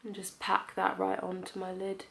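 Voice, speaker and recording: A woman's voice: medium pitch, completely flat delivery, normal speed, gravelly, fairly bright tone. Young woman, beauty vlogger, mild cold, nasal, casual tutorial chat. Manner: chatty, friendly, lots of ums. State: has a cold, slightly nasal.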